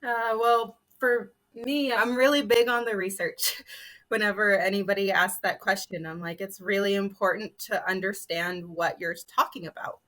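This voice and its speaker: A woman's voice: mid-range voice, fairly even intonation, moderate pace, a bit bright, rough recording. A woman on a storytelling panel, talking casually and conversationally while stressing her points. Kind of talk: casual panel discussion talk.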